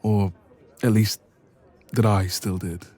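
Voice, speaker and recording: Man acting as affectionate young male best friend for romance audio roleplay; dark, relaxed, lowish voice, hesitant, intimate, gently teasing. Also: slow, faint music behind. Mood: nervous, tender.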